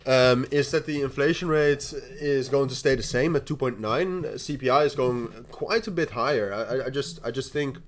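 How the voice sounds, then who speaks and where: A young man with a Dutch accent chats loosely into a desk mic, laughing now and then and tripping over repeated words. His pitch sits mid-range for a man, and keyboard typing clacks in the background. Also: normal speed, hardly any pauses. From Netherlands. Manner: rambling, laughs often, many repeated starts, casual swearing. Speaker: crypto livestream host. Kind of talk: casual livestream chat while trading.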